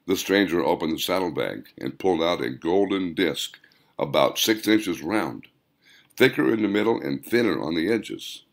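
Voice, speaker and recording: A man reading a scripted story aloud as an audiobook narrator, with deliberate, dramatic emphasis. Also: low-pitched, creaky. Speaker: author narrating own audiobook.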